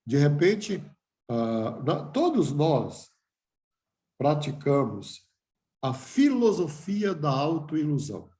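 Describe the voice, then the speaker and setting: A man's voice: a little high, gravelly, dark tone, reverberant. An older man giving a reflective lecture to a class, asking himself questions and restarting phrases.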